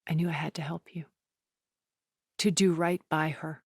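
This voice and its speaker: An actress playing a poised, cultured, wealthy woman in a video game, speaking in a measured, formal, refined way. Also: sits low, fairly even intonation, a little mellow, husky, dry recording, studio quality. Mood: earnest, regretful. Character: poised, cultured, wealthy woman, a thousand years old, sounding middle-aged. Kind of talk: video game character dialogue.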